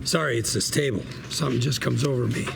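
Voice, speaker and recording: A man's voice: mid-range voice, quick pace, creaky, noisy background. A man playing a scrappy, sarcastic, combative lawyer at a script table read. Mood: sheepish. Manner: sarcastic, needling, theatrical.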